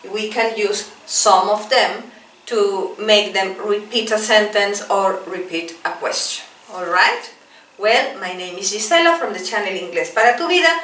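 A woman in her forties with a Spanish accent, teaching English phrases at a whiteboard and repeating each one slowly and clearly. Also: medium pitch, pushed and bright, a little hiss or hum. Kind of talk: bilingual English lesson, drilling phrases. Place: small classroom in front of a whiteboard. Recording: roomy, distant camera audio.

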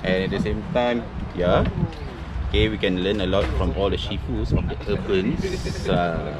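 A man's voice: deep voice, moderate pace, very noisy. A man, an event attendee, answering casually in an easygoing way.

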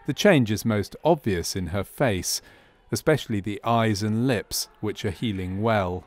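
A man's voice: lowish voice, measured pace, darkish tone. A man reading scripted narration for a medical training film.

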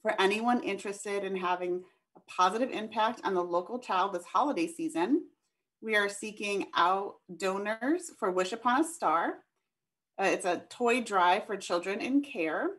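A woman with a voice of ordinary middle pitch speaks on a video call. She works as a behavioral health coordinator. She presents in a steady, informative way with frequent ums. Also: moderate pace, slightly rough. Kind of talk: presenting over a shared screen.

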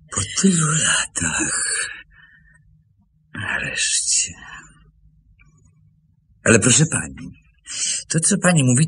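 An actor playing an aging emigrant watchmaker in a radio play, hesitant and emotional, with a gravelly, husky voice. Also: male voice, lowish voice, fairly bright tone, full character voice. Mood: stunned, moved. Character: aging emigrant watchmaker, wounded by an old false accusation.

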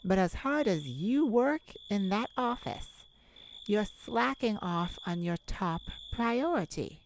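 A woman's voice: medium pitch, expressive, slight background noise, poor audio. A woman voicing a sassy, kind-hearted cat friend in an ASMR roleplay, theatrical and teasing as she scolds the listener in a motherly way. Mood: caring, sassy. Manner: theatrical, teasing, drawn-out emphasis. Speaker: audio roleplay performer.

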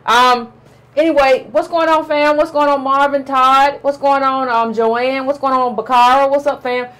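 Woman, thirties, a bit hoarse, high voice, blunt livestream commentary, small room. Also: steady tone, normal speed, a bit bright, low-quality recording. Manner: direct, opinionated, talks to her chat. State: a little hoarse. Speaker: political commentary host. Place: small room with a chalkboard backdrop.

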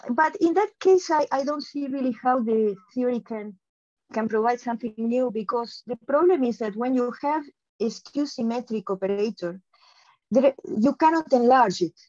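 High-voiced woman on a muffled call. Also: moderate pace, a little mellow, no room sound. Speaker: keynote speaker, mathematician. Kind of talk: explanatory academic answer in a question session. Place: indoors on a video call. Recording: dull, lo-fi video call audio.

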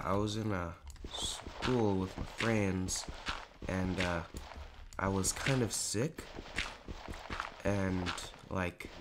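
A teenage boy with a raspy, hoarse voice, rambling casually over a Minecraft game with lots of fillers. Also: low-pitched, background noise. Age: teens. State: hoarse, clearing throat. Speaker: gaming YouTuber. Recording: home recording with clear keyboard clicks.

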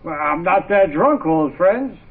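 Slow, high-pitched jovial drunk. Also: male voice, lively intonation, creaky, exaggerated voice. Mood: tipsy, jovial. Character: tipsy, jovial male old pal. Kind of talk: 1960s radio drama acting. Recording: muffled, noisy 1960s radio recording.

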